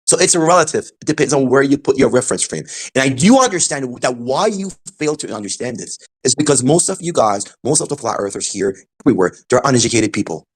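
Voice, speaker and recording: Male debater, rapid delivery, presses his point over and over. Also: medium pitch, lively intonation, breathy edge. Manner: fast, insistent, repeats himself. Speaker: debate participant. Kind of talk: heated online debate.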